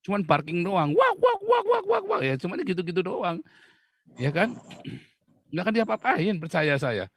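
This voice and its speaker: A man's voice: sits high, wildly swooping pitch, moderate pace. A middle-aged man from Indonesia, a pastor hosting an outspoken talk, speaking bluntly and emphatically and laughing often. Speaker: pastor and host.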